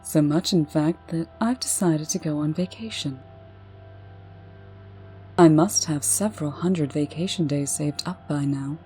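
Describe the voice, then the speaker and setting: A woman playing a brisk, proper, no-nonsense administrator in a comic dub, husky and businesslike. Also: low-pitched, mellow. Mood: airy, composed. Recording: music behind the voice.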